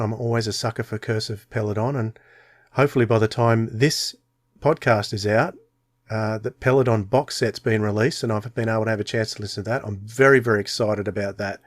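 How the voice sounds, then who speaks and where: A man's voice: medium pitch, dry recording. Australian man, close dry sound. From Tasmania, Australia. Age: 40s. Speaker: podcast co-host. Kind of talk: casual podcast chat.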